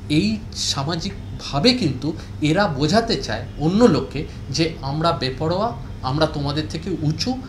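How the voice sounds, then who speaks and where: A man commenting in an interview with emphatic, repetitive phrasing, in an echoey recording. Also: on the high side. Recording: echoey room, faint background noise.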